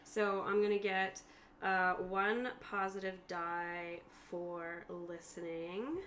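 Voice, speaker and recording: A woman's voice: fairly low pitch, little pitch movement, slow pace, a bit bright. A woman game master casually narrating and playing along in a tabletop game, talking with her players. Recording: faint background noise.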